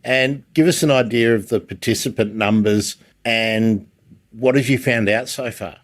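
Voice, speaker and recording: A man's voice: mid-range voice, measured pace. A man with an Australian accent hosting a casual interview, inquisitive and a little rambling, with a self-deprecating way of talking. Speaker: livestream host and interviewer.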